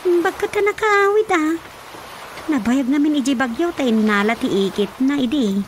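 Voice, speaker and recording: An actress with an Ilocano accent playing an outspoken, gossipy wife in a comic radio drama. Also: female voice, high-pitched, expressive, normal speed, slight background noise, poor audio. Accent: Filipino, Ilocano. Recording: radio drama with music underneath.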